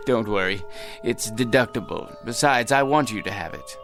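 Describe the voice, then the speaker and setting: A world-weary, generous millionaire in a radio drama. His voice has a gravelly creak and moves freely in pitch. He is earnest and wistful, with music clearly playing behind him. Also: expressive, normal speed. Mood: brisk, generous. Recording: music under the dialogue.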